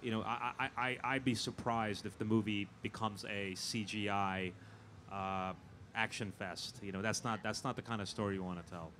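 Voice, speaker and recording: A man speaking as a guest in an informal panel interview, halting and often repeating his words as he thinks aloud. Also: lowish voice, fairly even intonation, pushed and bright. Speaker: film producer and panel guest. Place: fan convention event. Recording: noisy live event with background ambience.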